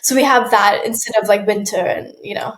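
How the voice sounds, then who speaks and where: A young woman from Malaysia, a guest on an interview show, chatting casually with frequent restarts and soft laughs. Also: mid-range voice, steady tone, brisk. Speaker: guest, aspiring model. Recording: roomy echo.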